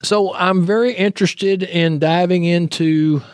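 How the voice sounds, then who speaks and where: A man's voice: high-pitched, steady tone, measured pace, clear, resonant tone, dry recording. A man with a Texas accent hosting a podcast interview, chatting in a friendly, storytelling way. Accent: Texan. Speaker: podcast host. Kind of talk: casual interview chat.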